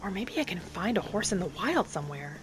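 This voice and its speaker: A woman voicing a gentle young wood elf alchemist in a fantasy game roleplay, soft-spoken and musing to herself without hurry. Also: lowish voice, very animated, fairly quick, a little hiss or hum. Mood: thoughtful. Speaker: player of a solo fantasy roleplay video series. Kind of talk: in-character game narration.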